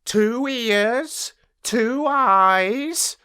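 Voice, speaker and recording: A man's voice: very high voice, extremely slow, bright, forceful tone, smooth, voice pushed up, exaggerated voice. A man voicing a vain, indignant little rat who demands attention in a storybook fable. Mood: boastful, indignant. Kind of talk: animal fable storybook read aloud.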